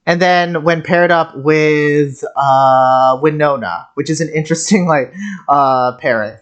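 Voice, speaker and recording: A host talking casually and a little haltingly, reacting to a video game as commentary. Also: mid-range voice, clean tone. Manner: halting, hesitant, giggly.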